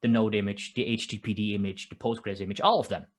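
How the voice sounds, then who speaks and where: A man's voice: on the low side, steady tone, fast talker. Dutch-accented man giving a tech talk. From Netherlands. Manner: conversational, with frequent restarts and filler "uh". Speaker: conference speaker. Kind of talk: technical conference talk. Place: home office, construction noise outside.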